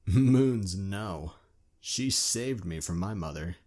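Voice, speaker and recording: A man's voice: low voice, animated, takes it slowly, darkish tone, far from the mic. A man playing a loyal, wry young dragon bodyguard with casual, clipped delivery in an audio drama. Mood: amused, wry. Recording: roomy echo, off the mic.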